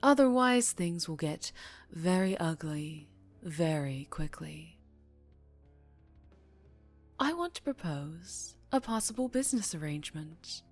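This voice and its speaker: A woman playing a dangerously charming female mafia boss in an ASMR roleplay, teasing and menacing, with deliberate purring delivery that drops toward a whisper. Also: lowish voice, expressive, soft background music, heavily acted. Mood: cool menace. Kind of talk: ASMR roleplay audio drama, addressing the listener.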